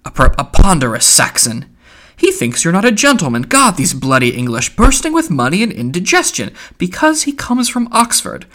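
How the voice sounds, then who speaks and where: Man playing a loud, mocking, theatrical young joker. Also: high-pitched, wildly swooping pitch, moderate pace, pitched above the performer's own voice, exaggerated voice. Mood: scornful, chummy. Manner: declaiming, mock-solemn, exclamatory. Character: plump, mocking, boisterous young Irish man, theatrically jovial.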